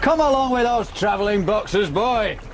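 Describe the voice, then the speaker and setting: An actor playing a blunt but good-natured Victorian narrowboat captain in a radio drama, with rough colloquial speech. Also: male voice, high voice, lively intonation, normal speed. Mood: brusque. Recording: noisy boat ambience.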